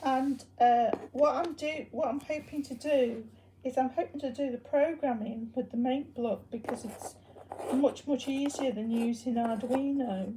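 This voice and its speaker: A woman presenting a hobby project in an informal, unscripted demonstration, explaining things in a hesitant, halting way. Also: high voice, mellow, slight background noise, rough recording.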